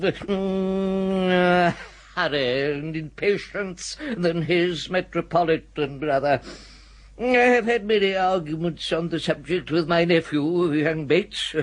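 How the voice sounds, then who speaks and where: An elderly English clergyman in a radio comedy. The voice is high and the delivery ponderously slow. He is genial, pompous and courtly, with hesitant ums and an upper-class accent. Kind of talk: radio comedy drama acting.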